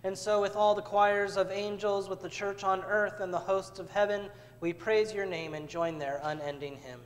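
A man serving as pastor, leading the communion liturgy in a measured, solemn way, recorded from a far camera in a church sanctuary. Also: sits high, fairly even intonation, slight background noise. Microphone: far camera at back of church. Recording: church livestream with long reverb.

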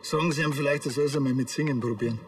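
A man's voice: medium pitch, fairly even intonation, rapid-fire, faint music behind, lightly acted. An actor playing a dry, teasing policeman with a Bavarian accent in a TV crime comedy. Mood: dry, blunt.

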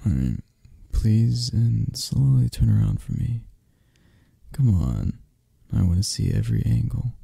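An intimidating, possessive mafia boss played by a man in an intimate ASMR roleplay. His voice is very dark and husky, close and dry, teasing and flirtatious as it swings lazily between murmurs and firmer orders. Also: lowish voice, sing-song, dry recording, exaggerated voice. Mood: businesslike, commanding. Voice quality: husky, gravelly, at times breathy. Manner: slow, murmuring, teasing, with drawn-out pauses.

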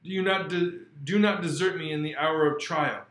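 A lonely creature, shunned and pleading, speaking in formal, earnest sentences, voiced by a man. The tone sits a little high for a man. A roomy echo hangs behind it. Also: fairly even intonation, normal speed, fairly bright tone, natural voice.

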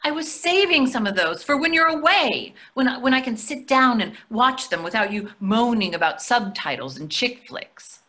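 Woman playing a bright-voiced, nagging older wife. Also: a little high, very animated, normal speed, hardly any pauses. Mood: irritated. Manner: querulous, questioning. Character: craving, hungry, nagging wife dining out. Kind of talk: readers theatre comedy acting. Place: indoor room on a video call. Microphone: video call microphone.

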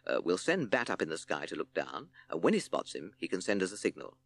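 Man as a measured, practical storybook owl. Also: sits low, wildly swooping pitch, dry recording, exaggerated voice. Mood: practical, dry. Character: practical, sober male owl, clever planner.

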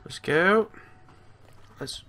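A man's voice: very slow, pushed and bright. A man giving casual, improvised video game commentary, jokey and sweary, with bits of playful baby-talk. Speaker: let's play host.

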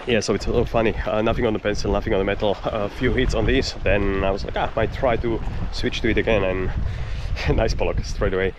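A man's voice: fairly low pitch, fairly even intonation, fast talker, hardly any pauses. A man in his forties talking casually to camera while fishing from coastal rocks, chuckling between remarks, recorded on an action camera. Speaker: vlogger, angler. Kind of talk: casual outdoor vlog commentary. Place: outdoors on coastal rocks by the sea. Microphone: action camera on a chest mount and handheld. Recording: outdoor noise from wind and water.

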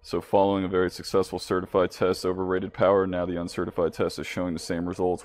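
A man with a low voice presents a hands-on product test. He talks casually and informally, addressing the viewers directly as he narrates each step. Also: darkish tone.

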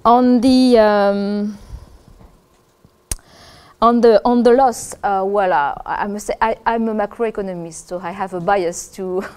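A woman's voice: medium pitch, steady tone, a little slow, darkish tone, smooth. A woman in her fifties, an economist answering audience questions in a seminar room, thoughtful and hesitant with many restarts. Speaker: economist giving a seminar talk.

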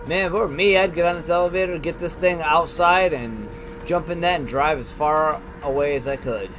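A man's voice: on the high side, moderate pace, a bit bright. A man giving casual let's play commentary, thinking aloud in short remarks. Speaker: let's player. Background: music and game sounds.

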